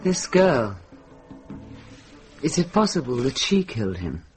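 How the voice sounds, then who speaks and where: An older British actress playing a worldly-wise, firm, compassionate medieval nun in a radio drama, speaking in a measured, gently admonishing way. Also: female voice, a bass voice, very animated, soft background music, exaggerated voice. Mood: probing.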